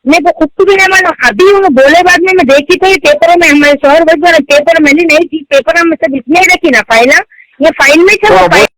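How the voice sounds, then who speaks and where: A woman's voice: very high voice, fast talker, fairly bright tone, rough recording. A woman with a Hyderabadi accent casually explaining and recounting her side in a recorded call. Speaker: caller in a recorded phone call.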